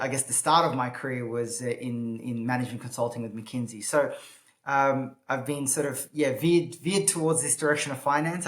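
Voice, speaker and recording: Man, 30s, guest, thoughtful, halting with fillers, roomy echo. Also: mid-range voice. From Australia. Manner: thoughtful, many filler pauses. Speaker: guest, impact investor. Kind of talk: casual interview answers.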